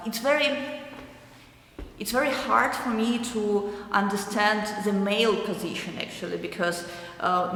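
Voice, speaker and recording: A young adult woman from eastern Ukraine with a Ukrainian accent, answering interview questions thoughtfully with many hesitant pauses. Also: on the low side, a bit bright. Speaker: artist interviewee. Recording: roomy hall with long echo.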